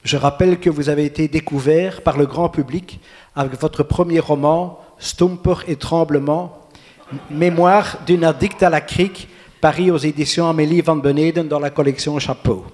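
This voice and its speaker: A man's voice: fairly high pitch, steady tone, moderate pace, a little mellow, natural voice. A man voicing a pompous male literary presenter who announces books in a grandiose tone.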